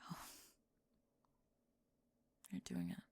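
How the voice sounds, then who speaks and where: A woman playing a young goth bully, defensive and reluctantly lovestruck, in an ASMR roleplay, speaking haltingly and dropping into whispers. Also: mellow, dry recording. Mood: flustered, overwhelmed. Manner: halting, breathy sighs, drops into whispers.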